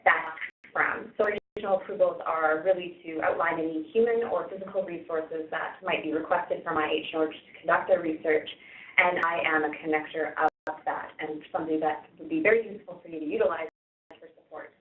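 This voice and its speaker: A woman with a Canadian accent and a loud voice, speaking as a webinar introducer. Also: sits low, fairly even intonation, fairly quick. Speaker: research navigation coordinator, introducer. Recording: roomy, phone-like conference line.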